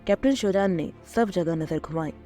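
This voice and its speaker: A woman's voice: fairly low pitch, normal speed, mellow, soft background music. A woman giving scripted narration as a storyteller, explaining a dramatic tale.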